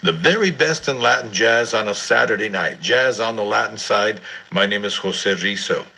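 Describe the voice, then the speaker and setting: Bright, husky male jazz radio DJ. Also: on the low side, normal speed.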